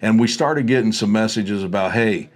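A man's voice: on the low side, normal speed, noisy background. A man in his fifties hosting a fishing show, chatting casually in a folksy, plain-spoken way. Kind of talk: casual interview chat. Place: indoor office-like room. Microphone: clip-on wireless mic.

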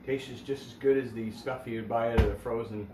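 A middle-aged man casually demonstrating a recipe in his home kitchen, low-key and a little tired. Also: fairly even intonation, moderate pace. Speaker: home cook. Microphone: camera across the room. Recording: echoey kitchen with background noise.

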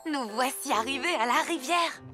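A woman voicing a caring, watchful mother pheasant in a children's cartoon dub, motherly and clearly enunciated. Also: high voice, normal speed, over loud music, lightly acted. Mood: cheerful, kindly.

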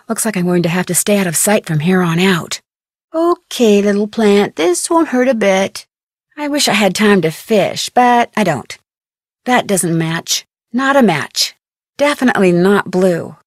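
A woman's voice: mid-range voice, dry recording, clean studio sound. A woman voicing a plucky, curious teenage girl detective in a video game, wryly thinking aloud to herself. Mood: wry, musing. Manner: wry, curious, self-talking. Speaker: voice actor of a video game heroine.

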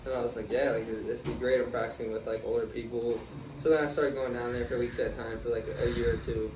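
A young man answering interview questions in a relaxed, casual way, full of fillers. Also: mid-range voice, fast. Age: young adult. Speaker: guest, tennis player. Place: small room, in doors, seated in armchair. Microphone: far camera. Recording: roomy, distant, noisy.

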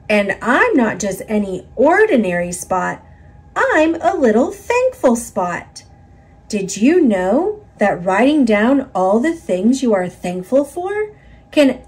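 Little thankful storybook creature, played by a woman: friendly, eager, lively swoops in pitch. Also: husky, full character voice. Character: small cheerful friendly yellow thankful spot creature.

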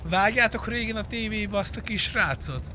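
A man's voice: high-pitched, pushed and bright, a little hiss or hum. A man chatting casually and crudely, with lots of swearing, while streaming a roleplay video game.

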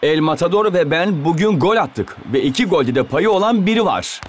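A man's voice: on the high side, expressive, moderate pace, music in the background, natural voice. A man playing a confident young football player in a cartoon. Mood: upbeat.

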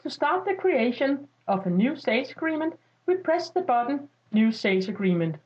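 Female tutorial narrator, notably high voice, scripted instructional read, slightly muffled lo-fi sound. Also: very animated, normal speed, a little mellow. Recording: dull lo-fi voice-over.

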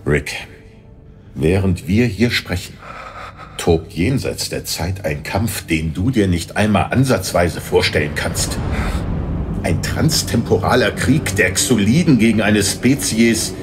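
A man's voice: sits low, animated, slow pace, soft background music. An actor playing a solemn father who returns after many years, in an audio drama. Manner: solemn and earnest. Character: grave long-lost father, time-war soldier.

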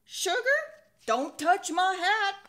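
A woman's voice: very high-pitched, very animated, slow, pushed and bright, voice pushed up, heavily acted. A woman voicing a storybook Old West sheriff with drawling, folksy cowboy talk. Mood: firm warning. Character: gruff-talking Old West sheriff, devoted to his hat.

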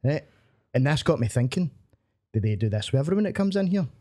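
A young Scottish comedian chatting as a podcast guest in a studio, animated and swearing freely. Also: male voice, medium pitch, fast talker. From Scotland. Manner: animated, swearing, laughing often. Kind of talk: casual chat. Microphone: studio mic on boom arm.

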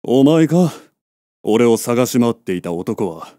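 A man's voice: medium pitch, very animated, slow, mellow, no echo, clean studio sound. Man acting grim, commanding wolf ex-commander. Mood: guarded, grave. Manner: curt, weighty, commanding. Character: grim, battle-worn male beastman wolf ex-commander. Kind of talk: dramatic audio drama acting.

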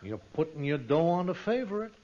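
Furtive racetrack tout, 1940s radio comedy: clipped insider slang, animated pitch, faintly noisy vintage sound. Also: male voice, a little fast. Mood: sly, confidential. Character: sly, confidential male racetrack tout. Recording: dull, noisy old 1940s radio recording.

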